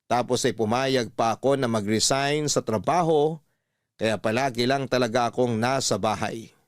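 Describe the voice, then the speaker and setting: Male narrator, Filipino accent, medium pitch, dry studio sound, man reading as resigned wife telling her story, radio drama. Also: normal speed, no echo, close to an everyday voice. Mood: reflective, resigned. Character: married woman writing in, recounting her life, resigned.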